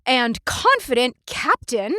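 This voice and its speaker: A woman's voice: high-pitched, big pitch swings, slow, bright, forceful tone, dry recording, studio quality, voice pushed up, full character voice. A woman voicing a flustered, nervous young woman who stumbles over her words with ums and uhs.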